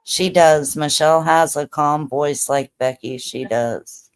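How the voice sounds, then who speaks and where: Slow-talking laid-back woman host. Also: low voice, clean tone. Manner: laid-back, chatty, lots of fillers. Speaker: livestream host. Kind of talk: casual chat with viewers.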